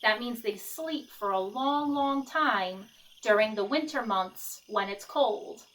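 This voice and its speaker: A woman reading a storybook aloud to children, gently pausing to explain and ask questions. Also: fairly high pitch, recorded from a distance, poor audio. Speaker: storybook reader.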